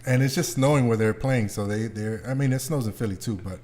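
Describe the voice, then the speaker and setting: A man's voice: mid-range voice, brisk, mellow. A man hosting a podcast, chatting casually with the group. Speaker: podcast host.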